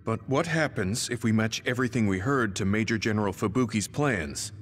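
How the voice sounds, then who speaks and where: A man playing a gruff, doubtful, down-to-earth soldier in a video game. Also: fairly low pitch, fairly quick, slight background noise, lightly acted. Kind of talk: scripted video game voice acting. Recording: long reverb with faint music.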